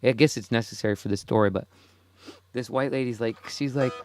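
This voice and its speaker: A man in his forties drifting from one thought to the next in a casual solo monologue. He chuckles often at his own remarks. His voice sits at an ordinary pitch, recorded dry and close. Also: a little fast, no room sound. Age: 40s. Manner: rambling, self-amused, chuckles often. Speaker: podcast host.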